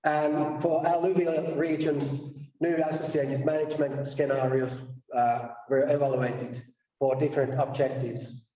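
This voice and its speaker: A man's voice: mid-range voice, level tone, mellow. Man presenting in an echoey hall. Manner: halting, pausing mid-sentence to restart. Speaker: conference presenter. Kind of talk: academic conference presentation. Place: conference session room. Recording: echoey room, distant mic.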